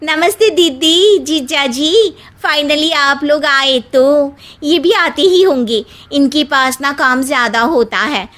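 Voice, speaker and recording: A woman's voice: high-pitched, slow pace, few pauses, fairly bright tone, pitched above the performer's own voice. A woman voicing a polite, chatty younger married cousin in a family story, speaking deferentially. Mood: cheerful, welcoming. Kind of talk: dramatised Hindi family storytelling.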